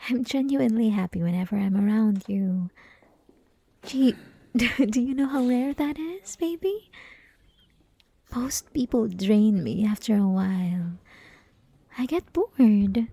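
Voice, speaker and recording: Yandere student played by a young woman: teasing, coy, sweetly possessive, slow breathy delivery with some vocal fry, intimate ASMR roleplay. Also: fairly high pitch, no echo, exaggerated voice. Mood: affectionate, intense. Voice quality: soft, breathy, often whispering. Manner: teasing, coy, giggly, lingering pauses. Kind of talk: ASMR roleplay, intimate one-sided conversation. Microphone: close ASMR microphone, dry.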